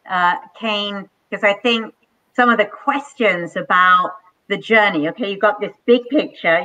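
An older woman hosting a live online panel from a home office, speaking in slow, measured, enthusiastic phrases over a video call. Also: medium pitch, bright, forceful tone. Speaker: panel host. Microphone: webcam on a video call. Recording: dull lo-fi video call audio.

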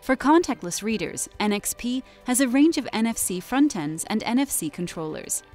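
A woman giving scripted corporate product narration in an even, measured and clearly enunciated way. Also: a little high. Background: soft background music.

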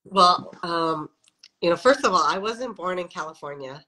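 A woman's voice: on the low side, animated, normal speed, sharp tone, poor audio. A middle-aged woman from the Midwest, a faith leader speaking as a guest in a casual interview over a video call, reflective and conversational, with many "you know" fillers. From American Midwest. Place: home office with windows, indoors. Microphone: video call webcam.

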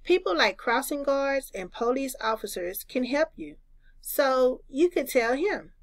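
A woman's voice: high-pitched, expressive, measured pace, dry recording, exaggerated voice. A woman voicing a sensible older boy who patiently instructs, in a children's storybook. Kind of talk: children's storybook read-aloud.

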